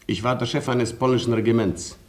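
An actor in a radio drama playing a proud, stoic colonel with a terse, formal manner. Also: male voice, mid-range voice, steady tone, fairly quick, natural voice. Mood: grave, resigned. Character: proud, stoic Polish male colonel, gallant and commanding.

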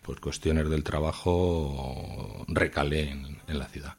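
A man with a low voice and a Madrid accent speaks as a guest in an informal radio interview. He picks his words in a halting, measured way. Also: steady tone, gravelly, darkish tone, husky. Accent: Madrid Spanish. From Madrid, Spain. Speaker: interviewed guest, new radio show contributor.

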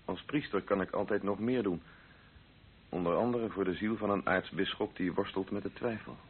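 An actor in a radio play playing an earnest, consoling priest in love, speaking in a measured, reassuring way. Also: male voice, low voice, natural voice. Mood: steady, principled. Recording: narrow, noisy old radio recording.

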